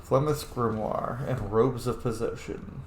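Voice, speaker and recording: A man's voice: medium pitch, steady tone, unhurried, darkish tone. A man giving casual let's play commentary as he plays a video game. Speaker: gaming streamer, player. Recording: roomy echo, faint game noise.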